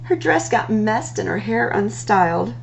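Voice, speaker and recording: A woman reading rhyming picture books aloud as a storytime host, in an expressive, singsong delivery with drawn-out words. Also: mid-range voice, creaky, a little hiss or hum, low-quality recording.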